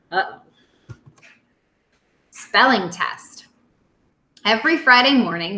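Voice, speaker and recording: A woman teacher reading a picture book aloud to young children in an expressive, simple way. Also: medium pitch, echoey room.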